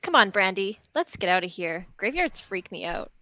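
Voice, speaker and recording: A woman's voice: medium pitch, big pitch swings, moderate pace, pushed and bright, no echo, muffled recording. A woman reading a breezy teenage girl in an amateur script read, with casual, slangy delivery. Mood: wistful, uneasy. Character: breezy, squeamish teenage girl in adventure film. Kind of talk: amateur livestream table read of a film script.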